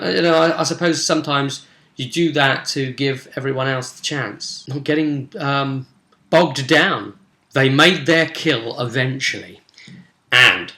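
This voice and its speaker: Bright-voiced man in an echoey room. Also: mid-range voice. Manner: rambling, explanatory, conversational. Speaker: game master recapping tabletop role-play sessions. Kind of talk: casual spoken recap and commentary. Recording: roomy, echoey sound.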